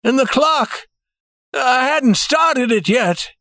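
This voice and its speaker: A man's voice: very high-pitched, lively intonation, slow, sharp tone, no room sound, studio quality, pitched above the performer's own voice, heavily acted. A man voicing a frantic old banker with a shaky voice in an audiobook story. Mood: alarmed. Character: proud old male banker, shaken and frantic. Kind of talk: audiobook character voice.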